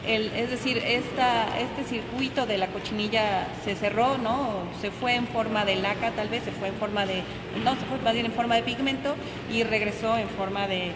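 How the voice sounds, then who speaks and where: A woman's voice: fairly high pitch, steady tone, moderate pace, few pauses. A woman with a Mexican Spanish accent speaks about paintings in a museum, thinking aloud with hesitations and tag questions. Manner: thoughtful, hesitant, ends phrases with tag questions. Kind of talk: gallery talk. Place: large echoing museum hall. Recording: echoey hall, loud background noise.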